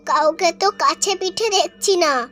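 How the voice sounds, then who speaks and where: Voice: very high voice, a little slow, fairly bright tone. A performer voicing a fearful, cautious mother who pleads with her son in a horror cartoon. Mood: uneasy. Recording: music behind the voice.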